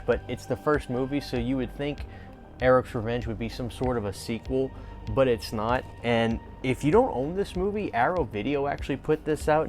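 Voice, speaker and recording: Male video host with an average-pitched voice: informal, enthusiastic fan chat over a music bed. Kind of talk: casual vlog commentary.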